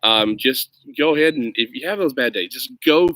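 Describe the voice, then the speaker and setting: A man's voice: mid-range voice, expressive, moderate pace, bright, forceful tone, no echo. A man with an informal, chatty, easygoing way of talking, hosting a children's story time and reading aloud expressively, recorded outdoors on a laptop. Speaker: reader of children's stories, teacher-like host. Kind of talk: casual chat and expressive picture book read-aloud. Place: outdoors in a grassy yard on a windy day. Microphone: laptop at about arm's length.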